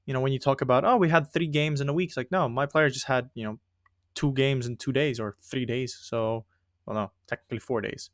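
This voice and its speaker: Male gamer, English not his first language, chatty and self-interrupting; slightly high voice, dry close-miked sound. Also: fairly bright tone, no room sound. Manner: chatty, rambling, breaks off and restarts sentences. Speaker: gaming video creator. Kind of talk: casual commentary while playing a football management game.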